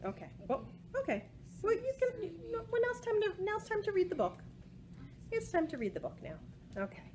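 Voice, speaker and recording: Animated woman reading a picture book, high voice. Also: a little fast. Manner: animated, expressive, explaining pictures to children. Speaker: library storytime presenter. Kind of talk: children's picture book read-aloud with asides. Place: small indoor room with bookshelves. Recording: home recording with faint background noise.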